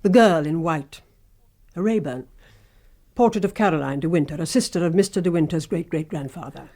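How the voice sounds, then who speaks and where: A woman's voice: sits low, fairly quick, dark tone. An actress playing a sinister, obsessive housekeeper in a radio drama, insinuating and intimate, lingering on her phrases. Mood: sly, coaxing.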